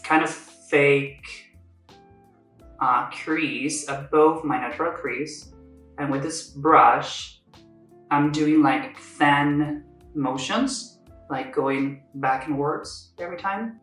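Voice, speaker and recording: Young man, Spanish accent, casual step-by-step makeup talk, roomy echo, faint background music. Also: a little high. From Spain. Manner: chatty, explains step by step, self-correcting. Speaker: makeup vlogger. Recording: echoey room, somewhat far from mic. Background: soft music.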